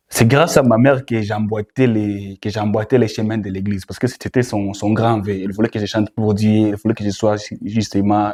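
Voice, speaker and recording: Young man, Congolese French accent, dark relaxed tone, repetitive phrasing, interview guest. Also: lowish voice. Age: young adult. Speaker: guest, gospel musician. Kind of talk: interview answers.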